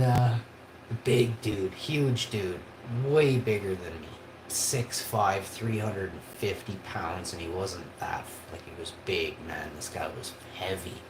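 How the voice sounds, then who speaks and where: A man's voice: on the low side, takes it slowly, echoey room, noisy background. A man, telling a rambling personal story on a livestream in a casual, chatty way. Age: 40s. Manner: casual and chatty, with frequent tag questions and restarts.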